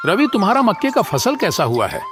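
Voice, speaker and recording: A man's voice: sits high, big pitch swings, fairly quick, over music. A man voicing a kindly, assured older brother crow who gives advice in a cartoon. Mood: advising.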